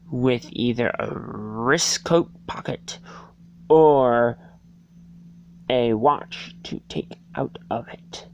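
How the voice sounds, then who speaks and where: A person giving an amateur dramatic reading of a storybook, halting and stumbling over the words. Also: medium pitch, slight background noise, low-quality recording. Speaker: reader.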